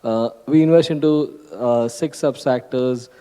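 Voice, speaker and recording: A man's voice: medium pitch, measured pace, dark tone, clean tone. A man introducing his fund on a conference panel, listing points with many fillers. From India. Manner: enumerating, frequent fillers, asks "right?". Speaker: venture capital panelist. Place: conference hall stage. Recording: hall reverb.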